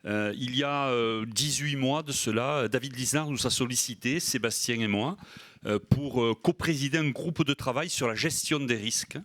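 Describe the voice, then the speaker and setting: Male civic official, average pitch, semi-formal conference address, close and dry sound. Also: normal speed, a bit bright, dry recording. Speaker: mayor speaking as working group co-chair.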